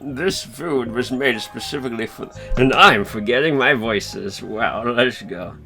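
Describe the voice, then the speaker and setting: A man's voice: mid-range voice, a little slow, creaky, sharp tone, soft background music. A man voicing a crude, lustful young cartoon guy with a blunt, swaggering manner in an improvised parody dub. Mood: smug.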